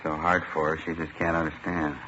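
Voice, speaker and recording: A man's voice: extremely low pitch, completely flat delivery, fairly quick. An actor playing a helpful, concerned husband who interprets for his wife in an old radio drama, plain and explanatory. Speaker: witness's husband, interpreting between French and English. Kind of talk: 1950s radio drama dialogue. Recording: muffled old radio recording, slight hiss.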